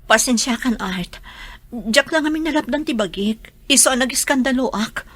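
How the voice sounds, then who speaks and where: A woman's voice: a little high, lively intonation, normal speed, a bit bright. A woman with an Ilocano accent acting a wronged, stubborn young mother and wife in a radio drama. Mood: aggrieved, defiant.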